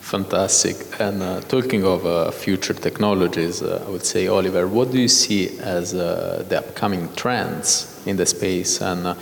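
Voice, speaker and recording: Deep-voiced guy running a panel, polite, lots of uhs, hall reverb. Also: steady tone, creaky. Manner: halting, polite. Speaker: panel moderator. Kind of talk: moderating a panel. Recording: hall with faint noise.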